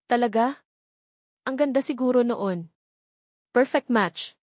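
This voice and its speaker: A woman puts on a gossiping office coworker for an audio romance drama. The talk is chatty and admiring, pitched a little up, with a very dry sound. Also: takes it slowly, darkish tone, no room sound. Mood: gossipy.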